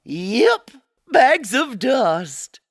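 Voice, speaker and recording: A woman's voice: a little high, very animated, extremely slow, no room sound, studio quality, exaggerated voice. A woman playing a kindly old Gold Rush cook with folksy, rustic frontier speech in a radio-style audio drama. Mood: warm, folksy.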